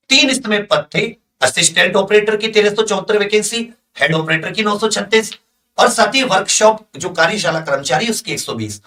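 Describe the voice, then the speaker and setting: A man with a high, bright, forceful voice, recorded at some distance from the mic. He talks like a coaching teacher rallying exam students, stressing points through emphatic repetition. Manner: emphatic, motivational, repeats phrases for stress. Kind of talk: energetic exam-prep announcement to students.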